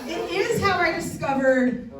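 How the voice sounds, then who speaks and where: A woman, delivering bawdy punchlines deadpan between chatty asides. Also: very high-pitched, measured pace, roomy sound, far from the mic, a crowd in the background. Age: 40s. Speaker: stand-up comedian. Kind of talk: stand-up comedy set. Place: comedy theatre stage before a live audience.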